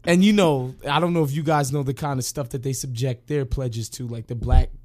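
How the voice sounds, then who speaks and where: A man's voice: medium pitch, fairly even intonation, a little fast, darkish tone. A man guesting on a podcast, telling loose, laid-back stories full of fillers. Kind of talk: casual chat.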